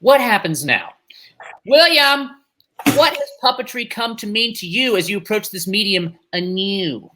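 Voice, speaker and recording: A man's voice: high voice, expressive, unhurried, background noise. A man presenting a competition show in a scripted, theatrical, mock-formal and wordy manner.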